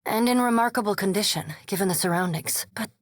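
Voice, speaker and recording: A woman's voice: mid-range voice, fairly quick, no echo, lightly acted. An actress voicing an erudite, coolly curious female vampire scholar in a fantasy game, speaking formally and precisely with dry intellect. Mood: intrigued. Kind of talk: scripted fantasy game dialogue.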